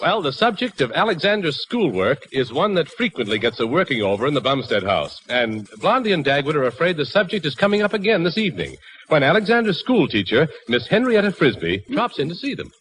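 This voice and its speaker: A man's voice: on the high side, very animated, fairly quick, fairly bright tone, poor audio. A man narrating a 1940s radio comedy as its announcer. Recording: vintage radio broadcast over faint music.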